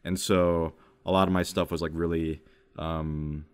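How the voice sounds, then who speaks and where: Man, lowish pitch, podcast host, casual conversational delivery, informal. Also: flat delivery, unhurried, clear, resonant tone. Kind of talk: casual chat.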